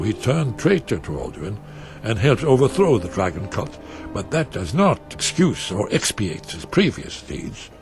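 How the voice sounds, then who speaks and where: A learned older man in a fantasy game, an archivist with a stern streak. He explains things deliberately, with music clearly behind him. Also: fairly low pitch. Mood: stern, judging. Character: scholarly, stern older male archivist of a warrior order. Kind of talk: fantasy video game voice acting.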